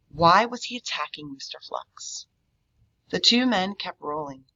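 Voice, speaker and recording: Woman doing a kids book read aloud, lowish voice but bright and forceful, bit dull lo-fi recording.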